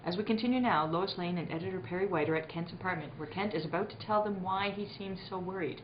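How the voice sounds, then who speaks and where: A woman's voice: lowish voice, quick pace. Echoey woman narrating playfully, giggly. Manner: giggly, breaking into laughter while reading. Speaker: co-host and narrator of a live radio play reading. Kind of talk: playful radio announcer narration with ad-libbed asides. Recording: echoey room, muffled, noticeable background noise.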